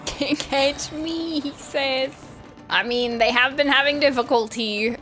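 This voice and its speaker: A woman's voice: high-pitched, steady tone, slow pace, fairly bright tone. A woman doing casual, playful gameplay commentary as a streamer, full of quips and teasing asides. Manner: quippy, dry teasing asides. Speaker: game streamer. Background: game music.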